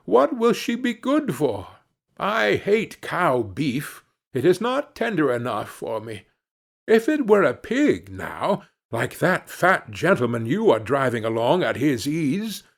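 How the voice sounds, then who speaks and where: A man voicing a naive, easily pleased young peasant in a storybook, with an earnest, exclamatory manner. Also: medium pitch.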